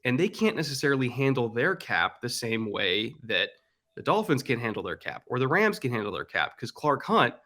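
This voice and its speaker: A man's voice: medium pitch, sing-song, moderate pace, bright, forceful tone. Confident man giving football analysis. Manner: confident, opinionated, explains at length. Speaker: guest analyst. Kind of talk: casual sports analysis chat.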